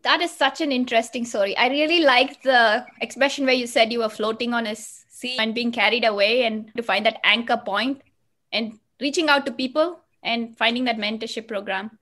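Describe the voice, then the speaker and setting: Higher-voiced woman hosting a podcast. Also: moderate pace, a bit bright. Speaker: podcast host. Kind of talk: scripted podcast intro and interviewing.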